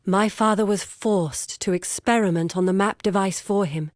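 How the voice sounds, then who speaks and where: An actress voicing an earnest, guarded woman explorer in a video game, speaking in a measured, grave and deliberate way. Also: mid-range voice, no room sound, studio quality, natural voice. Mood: confessional, earnest. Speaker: video game character voice actor. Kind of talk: scripted game character dialogue.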